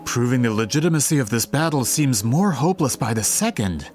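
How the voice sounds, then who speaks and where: An actor playing a polite, earnest gentleman researcher in a video game, with formal, courteous phrasing. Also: mid-range voice, lively intonation, brisk, natural voice. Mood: earnest, measured. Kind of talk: English video game voice acting. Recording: faint background music under the voice.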